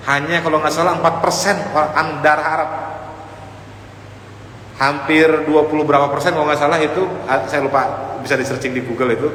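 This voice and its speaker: A man's voice: a little high, a bit bright. Male preacher, conversational lecture style, long hall reverb, faint background noise. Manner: conversational, often addressing listeners directly; sprinkles in Arabic phrases and quotations. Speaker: Islamic preacher teaching a congregation. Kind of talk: informal religious lecture on the Prophet's biography.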